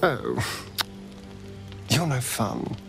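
A man's voice: medium pitch, sing-song, drawn-out words, vocal fry, over music, heavily acted. An actor playing a suave, sly vampire man in a video game, with theatrical, dramatic delivery and an undercurrent of need. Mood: dismissive, flippant. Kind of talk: video game character acting.

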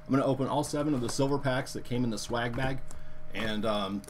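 A man with a hoarse, worn voice hosting a live card-opening stream, chatting loosely with viewers and using many fillers. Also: mid-range voice, a little fast, a little hiss or hum. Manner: relaxed, filler-heavy, talks to chat viewers. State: hoarse, lost his voice. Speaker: card break host.

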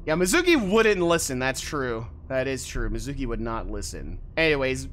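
A streamer giving casual, expressive livestream commentary while playing a game. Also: mid-range voice, normal speed, a little hiss or hum. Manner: animated, expressive. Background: game music.

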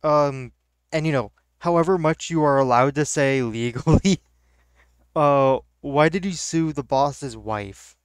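A man's voice: fairly high pitch, measured pace, clean tone, dry recording, studio quality. A man streaming an online game, chatting casually and rambling, often repeating himself. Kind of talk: casual chat.

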